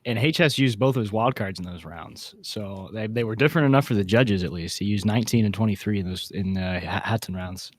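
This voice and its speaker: Young man with a lowish, dry-sounding voice. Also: fairly quick, few pauses, no room sound. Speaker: podcast co-host. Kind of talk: casual chat.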